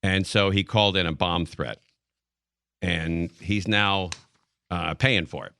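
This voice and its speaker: A male American talk radio host with a low, bright voice. He rambles through casual news commentary, pausing and thinking aloud. The sound is dry and close on a studio mic. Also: vocal fry, clean tone, no room sound. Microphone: close broadcast studio mic.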